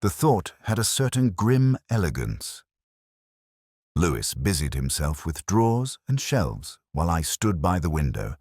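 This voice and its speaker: A man narrating a scripted first-person detective story in a measured, literary, reflective way. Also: a bass voice, a little mellow, breathy edge, no room sound, clean studio sound.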